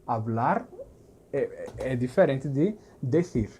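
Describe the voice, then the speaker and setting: A man's voice: mid-range voice, expressive, takes it slowly, warm tone, slight background noise. A man teaching a lesson, explaining patiently and checking understanding as he goes. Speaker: language teacher. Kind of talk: recorded language lesson.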